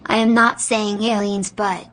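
A woman reading out a viewer donation in a deadpan manner. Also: medium pitch, measured pace. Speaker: donation reader.

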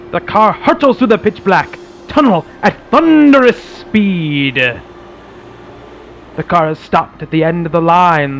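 A man's voice: high-pitched, slight background noise. A man narrating a dramatic old-time radio serial in a theatrical announcer's manner. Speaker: podcast host and narrator.

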